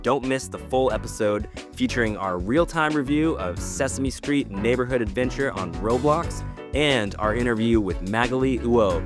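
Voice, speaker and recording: A man hosting a podcast, talking in a relaxed, informal way and steering the chat. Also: mid-range voice. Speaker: host.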